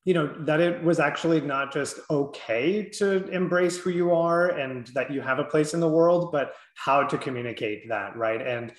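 A man's voice: a little high, normal speed, few pauses. Man, 30s, clinician guest, conversational and reflective, echoey room. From Southern California. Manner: reflective, conversational, frequent "you know". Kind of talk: interview answers.

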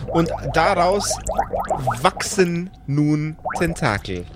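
A man narrating as game master in an improvised tabletop story, slow and playful with suspense. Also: on the high side, very animated, loud music behind the voice.

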